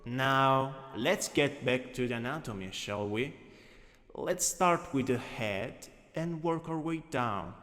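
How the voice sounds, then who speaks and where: A man with an Italian accent playing a gently dominant, doting anatomy teacher in a scripted ASMR roleplay, coaxing and instructing. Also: medium pitch, slow, a faint crowd. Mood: lecturing, composed. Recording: long reverberant hall echo.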